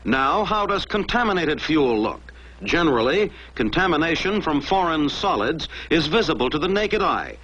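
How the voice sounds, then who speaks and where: American man, vintage military film narrator, fairly high voice, animated intonation, emphatic, muffled lo-fi soundtrack. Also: normal speed. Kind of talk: scripted mid-century military training film narration. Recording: dull lo-fi old film soundtrack.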